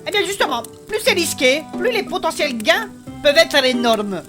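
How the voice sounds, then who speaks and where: A woman's voice: high voice, sing-song, normal speed. A woman playing a greedy, evasive male schemer in a comic fantasy audio drama, wheedling and protesting. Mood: defensive. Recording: music behind the voice.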